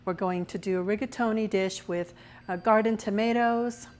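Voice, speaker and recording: A woman hosting her own cooking show, her voice a little raspy as she recovers from a cold, chatting through each step as she cooks. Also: medium pitch, steady tone, moderate pace, a little mellow. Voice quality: slightly raspy. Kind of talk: casual cooking demonstration.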